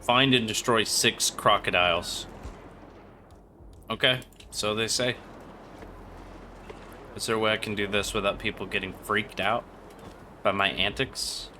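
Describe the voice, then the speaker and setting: A man's voice: fairly low pitch, fairly even intonation, a little slow, pauses often, bright, forceful tone, slight background noise. A man casually commentating on a game as he streams, muttering profane, exasperated asides. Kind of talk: casual live gameplay commentary.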